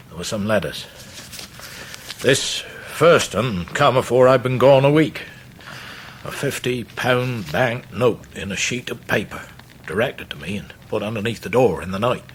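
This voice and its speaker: A man's voice: on the low side, slight background noise. An actor playing a humble, devoted working-class old fisherman with an East Anglian accent, speaking earnest rustic dialect in a radio drama. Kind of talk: full-cast radio drama acting.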